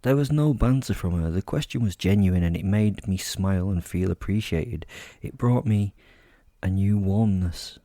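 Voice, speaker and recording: Low, mellow male storyteller, close and dry. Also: moderate pace, dry recording. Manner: sardonic, wry, brooding. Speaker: narrator of a horror audio drama. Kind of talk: first-person dramatic storytelling in character.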